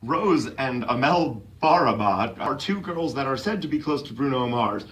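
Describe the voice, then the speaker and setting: A man's voice: mid-range voice, lively intonation, normal speed, fairly bright tone, distant mic, slight background noise. A man giving a mock-serious dramatic reading of clumsily written text for comedy. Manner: tends to break into giggles.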